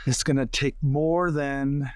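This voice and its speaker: A man's voice: medium pitch, steady tone, slow, clear, resonant tone, no echo, clean studio sound. A man chatting casually as a player in a tabletop roleplaying game.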